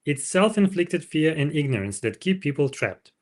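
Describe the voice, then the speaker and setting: A man's voice: a little high, very animated, moderate pace. A man reading the storybook part of a wise, frank older mentor, speaking in an emphatic, teacherly way. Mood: earnest, instructive. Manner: didactic, emphatic.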